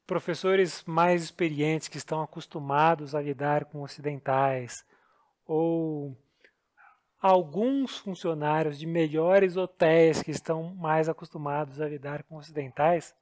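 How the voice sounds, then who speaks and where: Brazilian man, podcast co-host, high-pitched, earnest self-deprecating storyteller. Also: darkish tone. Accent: Brazilian Portuguese. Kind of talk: casual storytelling chat.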